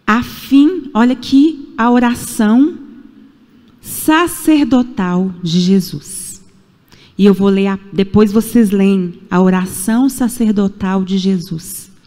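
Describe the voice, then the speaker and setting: A woman's voice: mid-range voice, slow pace, warm tone. A Brazilian woman in her forties preaching a sermon in a church, emphatic and repetitive, with rhetorical questions. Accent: Brazilian Portuguese. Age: 40s. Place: church sanctuary. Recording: echoey church hall.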